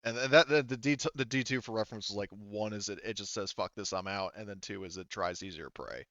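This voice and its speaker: A man running a tabletop roleplaying game as game master, improvising scenes and rules in a halting, stop-and-start way. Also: on the low side, fairly bright tone, no room sound, lo-fi sound.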